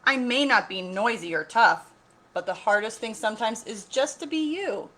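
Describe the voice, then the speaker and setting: A woman's voice: on the high side, lively intonation, normal speed, pushed and bright, voice pushed up. A woman voicing a timid young elephant bravely standing up for himself in an earnest, emphatic storybook read-aloud. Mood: earnest, defiant.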